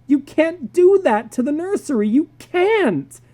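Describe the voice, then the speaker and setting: A performer voicing spoiled, hysterical children who wail and plead in a high, whiny voice. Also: sing-song, a little slow, warm tone.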